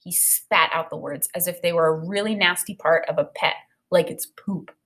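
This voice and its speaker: A woman in her thirties, an elementary teacher, reading a children's novel aloud and explaining it in an expressive way. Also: on the low side, moderate pace. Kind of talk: read-aloud of a children's novel with think-aloud commentary. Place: small indoor room with bookshelf and whiteboard.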